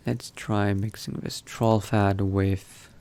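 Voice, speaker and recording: A man narrating his role-play gameplay in character, musing to himself in an unhurried, gravelly voice. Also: on the low side, little pitch movement, darkish tone. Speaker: gaming video host, role-playing player.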